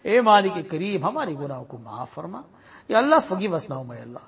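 Imam type, man, raspy and high, leading a pleading prayer with a lively, swooping delivery. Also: fast talker. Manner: pleading, each plea closed with a soft trailing refrain. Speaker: imam leading a congregation in supplication. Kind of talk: impassioned supplication prayer.

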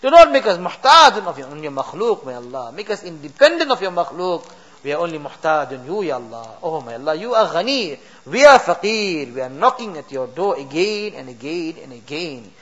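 A man's voice: on the high side, big pitch swings, a little fast, fairly bright tone, breathy edge, dull audio. A man leading an emotional supplication in a mosque, pleading and rising to impassioned cries.

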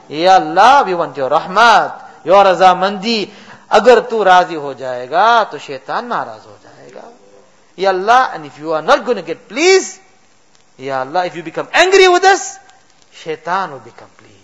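A man's voice: sits high, big pitch swings, moderate pace, a bit bright, poor audio. A man leading an emotional supplication in a mosque, pleading and rising to impassioned cries.

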